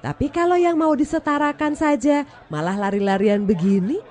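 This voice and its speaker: A woman playing a genial forum co-host, reassuring and gently wry, with crowd noise behind. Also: sits high, expressive, moderate pace. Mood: wry, soothing.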